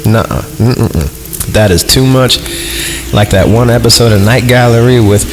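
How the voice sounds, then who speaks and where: A man's voice: medium pitch, a little slow, noisy background. A man reading horror stories on a podcast, breaking off into irreverent, slangy, sweary joking commentary. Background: music.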